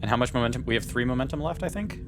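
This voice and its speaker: A man's voice: mid-range voice, animated, racing. A man chatting casually as a player at a tabletop roleplaying game. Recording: background music under the voice.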